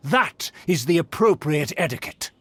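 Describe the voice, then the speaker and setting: A man's voice: on the high side, animated, moderate pace, fairly bright tone, husky, no echo. An actor playing a haughty male noble who lectures others with pompous contempt. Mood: scornful. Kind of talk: video game voice acting. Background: game music.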